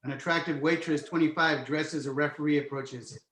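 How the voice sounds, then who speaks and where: A man's voice: a little high, steady tone, brisk, a bit bright. A man reading stage directions as scripted narration for a screenplay table read. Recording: roomy, echoey home recording.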